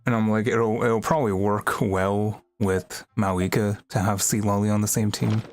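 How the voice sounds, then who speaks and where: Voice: medium pitch, steady tone, moderate pace. A game livestreamer giving casual, rambling, conversational commentary over a game. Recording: game audio and music behind. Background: game dialogue and music.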